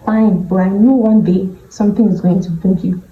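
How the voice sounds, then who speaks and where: A woman's voice: mid-range voice, fairly even intonation. A woman with a Nigerian accent vlogging casually to a phone camera, speaking candidly and stretching words for emphasis. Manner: candid, drawn-out emphatic phrasing. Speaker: beauty vlogger. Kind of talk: casual vlog talking to camera. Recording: dull, echoey room recording.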